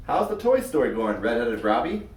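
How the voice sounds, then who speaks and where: A big, loyal schoolboy from a storybook, done by a male reader, casual and friendly. The delivery has lively swings in pitch. The sound is roomy and somewhat distant. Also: normal speed, natural voice. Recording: roomy echo, far from mic.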